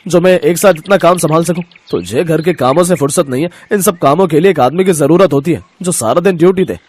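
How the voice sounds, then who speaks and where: Casting: scheming cartoon shopkeeper, fairly high male pitch, grumbling, self-justifying. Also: fairly quick, breathy edge. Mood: irritated. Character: jealous, greedy shopkeeper brother-in-law, scheming and grumbling. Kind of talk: Hindi moral story cartoon dubbing.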